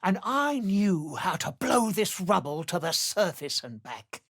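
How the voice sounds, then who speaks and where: A woman's voice: fairly low pitch, very animated, a little slow, breathy edge, no room sound, studio quality, full character voice. A woman playing a blunt, desperate and defiant gnome slave in a video game, urgent and pleading. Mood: tense, insistent. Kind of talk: video game character acting.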